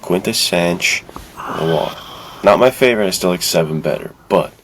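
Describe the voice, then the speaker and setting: Deep, creaky man, casual and emphatic. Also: moderate pace, a bit bright, slight background noise. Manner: informal, emphatic opinions. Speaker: podcast co-host. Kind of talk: casual film discussion.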